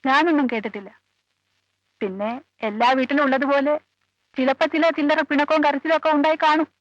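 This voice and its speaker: An actress playing a guarded, loyal aunt who lives with the family, answering carefully and matter-of-factly in a film drama. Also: female voice, high-pitched, fairly even intonation, a little fast, no room sound, close to an everyday voice. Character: guarded, loyal female relative living in the household. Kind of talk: Malayalam film dialogue. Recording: dull old film soundtrack, faint music.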